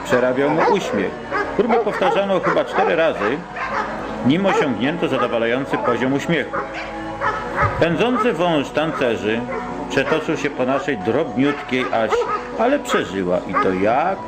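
A man's voice: medium pitch, big pitch swings, moderate pace, over loud music, low-quality recording. A man narrating a home video of a campfire party in a joking, mock-solemn way. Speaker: amateur video narrator and interviewer.

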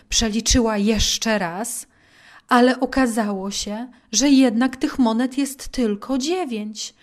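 A woman narrating a children's Bible story expressively, with simple, deliberate phrasing and dramatic pauses. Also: on the high side, darkish tone.

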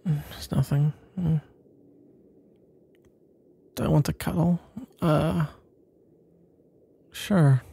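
A man acts out a shy, flustered young guy in an intimate ASMR roleplay. His voice is soft-toned and mellow, husky with breath and thick with creak. He stammers and mumbles awkwardly, like a sleepy best friend who is smitten. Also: a little high, fairly even intonation, drawn-out words, pauses often, full character voice. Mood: shy, tender.

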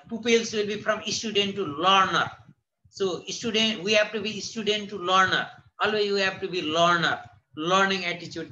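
A Nepali-accented man with a high, very bright and forceful voice moderates an online student panel. He speaks haltingly and often repeats phrases for emphasis. The video-call audio carries a light, audible noise. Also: highs cut off. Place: indoors, plain-walled room. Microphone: computer mic on a video call.

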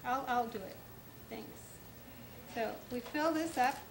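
A woman's voice: a little high, unhurried, very noisy. A middle-aged woman hosting a cooking show, patiently guiding a child through a recipe with polite requests. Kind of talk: cooking demonstration for kids. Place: indoor studio kitchen set.

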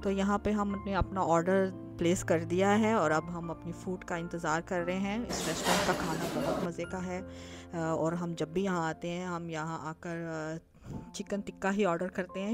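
A woman narrating her cooking and dinner-outing vlog in a chatty, explanatory way, with frequent filler sounds. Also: lowish voice. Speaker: lifestyle vlogger. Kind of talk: casual recipe and travel vlog voice-over. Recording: clear music bed behind voice.

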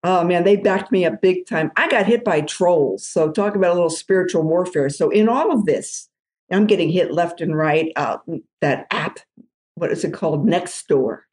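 Lively woman telling stories, low-pitched. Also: normal speed. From New Jersey. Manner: acts out other people's voices with big jumps in pitch. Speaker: podcast guest, drum therapist. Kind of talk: animated storytelling in conversation.

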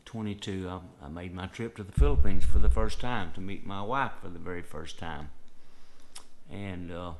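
A man's voice: deep voice, a little hiss or hum. An older man with a Southern US accent, telling a personal story to camera in an earnest, rambling way, with frequent "and, uh" fillers. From Southern United States. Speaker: vlogger. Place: living room, indoors.

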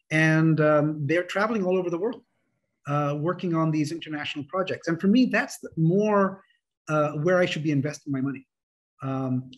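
Middle-aged guy on a video call panel, kinda high husky voice, explaining stuff. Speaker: architect, panel speaker. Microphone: video call microphone.

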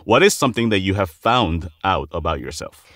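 Animated, low-pitched man hosting an interview. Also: fairly quick, dry recording, clean studio sound. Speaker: podcast host. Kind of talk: conversational interviewing.

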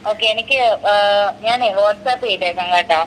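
A woman's voice: mid-range voice, moderate pace, a bit bright. A woman on a casual business phone call as a mehndi artist, mixing in English words. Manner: mixes English words into speech. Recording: phone call clip with background noise.

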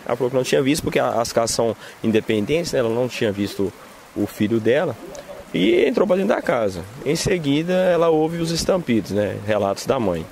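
A Brazilian police lieutenant with a Brazilian Portuguese accent, reporting a crime in halting official jargon, outdoors on a street. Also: male voice, mid-range voice, very animated, creaky, a little mellow, slight background noise. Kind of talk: field report interview.

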